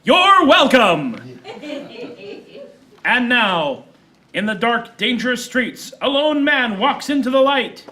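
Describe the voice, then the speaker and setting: Male actor, melodramatic radio-serial announcer, forceful and sharp, unusually high pitch, bombastic comic delivery. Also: very animated, full character voice. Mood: grand, dramatic. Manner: dramatic pauses, projected. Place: small theater stage, live audience.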